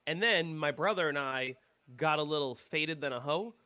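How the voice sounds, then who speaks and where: A man's voice: fairly high pitch, moderate pace, bright, forceful tone, clean tone. A man chatting casually while he streams a game, rambling and opinionated and swearing freely. He sounds congested, like he is coming down with a cold. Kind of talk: casual gameplay chat.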